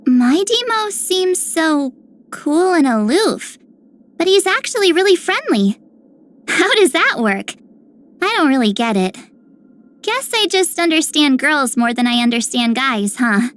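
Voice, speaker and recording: The slow-talking, squeaky-high little girl of a fantasy game, sweet and caring. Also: big pitch swings, fairly bright tone, full character voice. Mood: puzzled, friendly. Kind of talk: scripted character voicelines.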